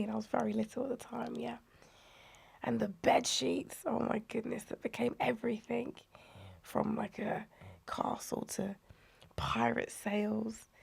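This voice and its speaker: Woman, croaky from illness, raspy, fairly high, breathy half-whispers. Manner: animated storytelling, drops to breathy near-whispers. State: unwell, croaky voice. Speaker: guest, inclusive play advocate. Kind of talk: conversational podcast interview.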